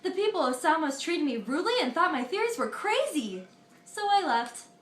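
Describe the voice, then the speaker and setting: Earnest Greek philosopher, played by a teenage girl; high, roomy school-project sound. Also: sing-song. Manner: earnest, recited, a little halting. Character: ancient Greek philosopher Pythagoras, an older man, earnest. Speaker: student in a school history project. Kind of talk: amateur mock interview, reciting facts.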